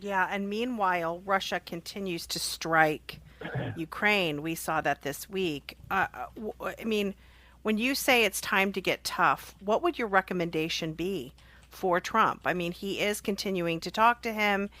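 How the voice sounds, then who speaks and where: A woman's voice: mid-range voice, unhurried, sharp tone. A woman hosting a conversational news interview on radio, rephrasing her points as she works toward a question.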